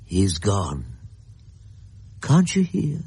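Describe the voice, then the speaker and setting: Very husky, anxious old father. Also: on the low side, wildly swooping pitch, extremely slow, warm tone, exaggerated voice. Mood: awed, fearful. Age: older. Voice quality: husky, creaky. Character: anxious, gentle elderly father, a worried grandfather. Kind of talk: radio drama acting.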